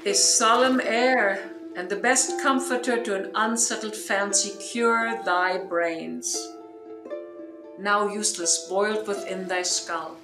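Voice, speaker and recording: A woman playing a grave, commanding sorceress and wronged duchess in a Shakespearean radio play, declaiming grandly and deliberately. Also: medium pitch, fairly even intonation, music in the background, close to an everyday voice. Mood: tender, solemn. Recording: roomy home recording made online.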